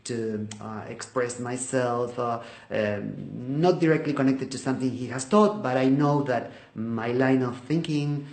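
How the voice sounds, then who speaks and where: A man whose first language is Spanish, talking casually to the camera about his Bible study videos, halting, with many fillers and restarts. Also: mid-range voice, a little mellow. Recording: echoey room.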